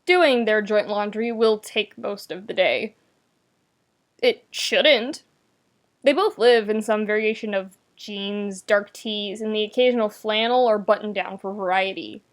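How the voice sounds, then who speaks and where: A woman reading a story aloud as narrator, with expressive, wry delivery and dramatic emphasis. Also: medium pitch, measured pace. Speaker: podfic narrator. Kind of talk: expressive scripted fiction narration.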